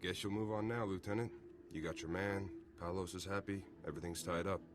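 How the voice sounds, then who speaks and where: A male actor as a deferential captain in a sci-fi game. His voice is deep and plainspoken, with music clearly behind it. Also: fairly even intonation, fairly quick, natural voice. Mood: respectful, wry. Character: deferential, easygoing military captain. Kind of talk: video game voice acting.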